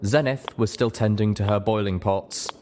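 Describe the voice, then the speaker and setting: A man with a low voice narrates a work of fiction. He reads in the first person, in a dramatic storytelling style suited to an audiobook. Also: steady tone, moderate pace, a little mellow, slight background noise. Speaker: story reader.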